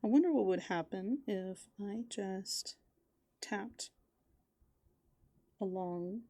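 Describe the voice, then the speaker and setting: A soft-spoken woman doing rambling, playful ASMR as a raccoon, musing aloud and often slipping into whispers. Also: medium pitch, takes it slowly. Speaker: ASMR host. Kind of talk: furry roleplay ASMR, improvised rambling.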